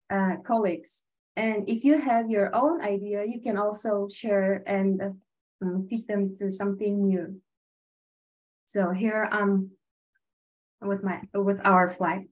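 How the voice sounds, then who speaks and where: A woman's voice: medium pitch, low-quality recording. A woman from Tajikistan, sharing her teaching exchange experience in an earnest, conversational way with many fillers over a video call. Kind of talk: online panel presentation with slides.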